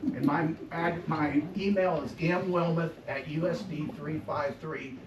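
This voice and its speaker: Older guy, loud voice, talks real slow and pushy at a board meeting, mic far off, faint hum. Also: fairly high pitch, fairly even intonation, dull audio. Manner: slow, emphatic, repeats points. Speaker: former school district employee speaking at a board meet and greet. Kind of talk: public meeting remarks. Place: school district office lobby at a public meeting. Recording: roomy echo, distant mic, faint background noise.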